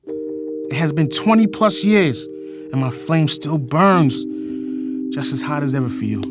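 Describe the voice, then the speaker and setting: A man's voice: a little high, lively intonation, a little slow. An actor plays a middle-aged retired policeman in an audio drama, a man pleading for an old love. He is dramatic and insistent, stretching out his declarations. Prominent music plays behind him. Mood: ardent pleading. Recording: loud music under the voice.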